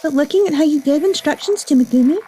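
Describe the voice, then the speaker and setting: An actress playing a stern, exacting company official in a manga dub, formal and accusatory. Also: female voice, high-pitched, fast, dark tone, faint music behind, natural voice, rough recording. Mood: stern, critical.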